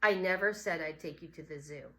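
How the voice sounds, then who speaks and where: A woman's voice: sits low, brisk, sharp tone. A woman voicing an exasperated mother in a storybook, questioning and protesting defensively.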